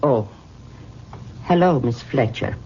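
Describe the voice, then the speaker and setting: A woman's voice: a bass voice, expressive, slow, full character voice. An actress playing a loyal, evasive woman servant in an old-time radio drama, deferential and guarded. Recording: muffled, noisy old radio recording.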